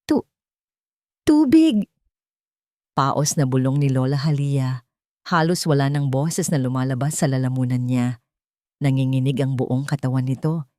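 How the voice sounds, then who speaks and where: Low, dark-voiced woman narrating drama. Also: no room sound, studio quality. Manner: steady, dramatic storytelling. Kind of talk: scripted audio drama narration.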